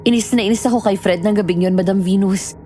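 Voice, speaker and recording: A woman's voice: medium pitch, steady tone, fast talker, warm tone, lightly acted. An actress playing a young woman who narrates her own frightening ordeal in a first-person horror story. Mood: rueful. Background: music.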